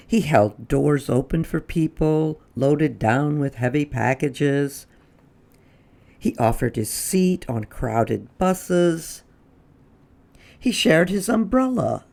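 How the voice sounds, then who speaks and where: Slow, lively, creaky children's storyteller. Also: mid-range voice. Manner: expressive, deliberate. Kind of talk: children's read-aloud narration.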